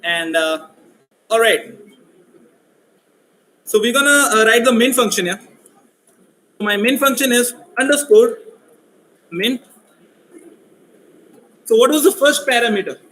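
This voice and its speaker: A man running a live coding workshop, speaking loudly and asking his audience questions. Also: extremely high pitch, measured pace, pauses often, roomy sound, slight background noise, rough recording. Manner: loud voice, asks the audience questions. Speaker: workshop instructor. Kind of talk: live coding workshop, mixing English and Hindi.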